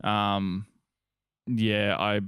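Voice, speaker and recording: A man's voice: on the low side, flat delivery, extremely slow, fairly bright tone, smooth, dry recording, clean studio sound. A young Australian man co-hosting a gaming podcast, rambling and swearing casually in a laddish way. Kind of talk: casual banter.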